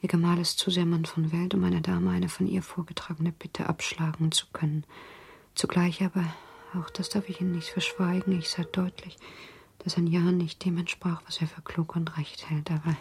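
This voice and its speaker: A courteous society lady in a radio drama, formal and restrained. Her voice is lowish, creaky and very even, with faint noise behind it. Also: normal speed. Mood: formal, reassuring. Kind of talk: German radio play acting.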